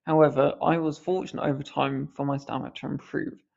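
A man's voice: fairly high pitch, little pitch movement, fairly quick, gravelly, darkish tone, highs cut off. A man hosting a podcast, speaking earnestly with a mild stammer on the odd word. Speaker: podcast host. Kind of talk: reflective podcast commentary.

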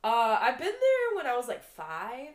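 A woman's voice: a little high, wildly swooping pitch, measured pace. A young woman chatting casually as a podcast co-host, laughing often and leaning on "dude" and "like". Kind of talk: casual chat.

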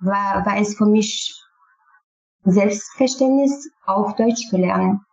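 A woman's voice: medium pitch, slow pace, darkish tone, muffled recording. A woman from Syria with a Kurdish accent and Kurdish as her first language, talking about herself as an interview guest in simple, careful sentences. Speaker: podcast guest. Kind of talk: personal interview talk.

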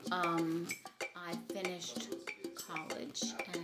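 A woman in her fifties answers an interview in a slightly low voice. She halts and corrects herself as she speaks, and loud music runs behind her. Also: completely flat delivery, extremely slow. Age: 50s.

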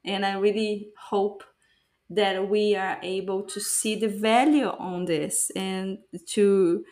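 A woman's voice: mid-range voice, fairly even intonation, slow, a little mellow. A woman whose first language is Portuguese, hosting a podcast and talking in a reflective, earnest way, with many fillers and restarts. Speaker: podcast host. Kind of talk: casual conversational interview.

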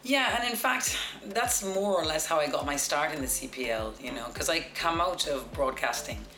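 Deep-voiced woman broadcaster. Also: normal speed. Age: 40s. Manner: lively, confident, practised broadcaster delivery. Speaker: guest, sports broadcaster. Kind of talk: relaxed storytelling interview. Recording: echoey room with music behind.

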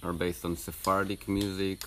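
A man with an Israeli accent and a deep voice gives a casual interview as a musician. He explains things with some hesitation. Faint outdoor noise and clicks sit behind him. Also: level tone, normal speed, a bit bright. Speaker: band musician being interviewed. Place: outdoors under a tree.

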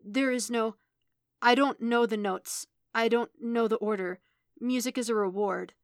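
A woman voicing a distressed, earnest young man in a story, halting, choked and pleading. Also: fairly high pitch, fairly even intonation, no echo, studio quality, voice pushed up.

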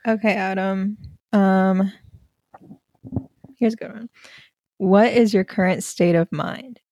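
Teenage girl, teasing, giggly, a bit of fry, dry close sound. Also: medium pitch, steady tone, slow pace, dark tone, dry recording. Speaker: podcast guest. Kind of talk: casual chat among friends.

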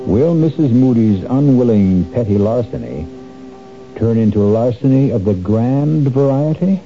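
A man hosting and narrating a 1970s radio mystery show in a measured, grave manner. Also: medium pitch, lively intonation, warm tone. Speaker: host narrator. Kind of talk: scripted radio drama narration. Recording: lo-fi old radio recording over music.

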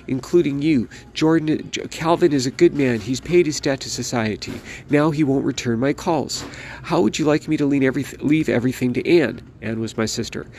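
A man's voice: mid-range voice, darkish tone, slight background noise, voice pushed up, close to an everyday voice. A man doing a bitter, scolding old mother. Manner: scolding, melodramatic. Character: bitter, domineering elderly mother.

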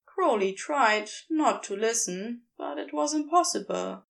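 A woman's voice: on the high side, lively intonation, unhurried, vocal fry. A woman narrating scripted fiction expressively, as for an audiobook.

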